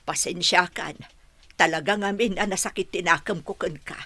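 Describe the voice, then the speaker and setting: Husky, wounded woman acted by a woman. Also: lowish voice, normal speed, pushed and bright, no echo. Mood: hurt, regretful. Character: hurt, reproachful woman slow to forgive. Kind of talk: audio drama acting.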